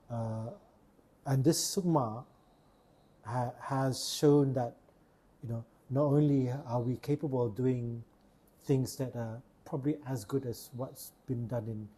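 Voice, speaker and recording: A man's voice: medium pitch, steady tone, a little slow, dark tone, husky. A man from Sarawak with a Malaysian accent, hosting a casual podcast chat and often repeating words as he searches for a phrase. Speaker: podcast host.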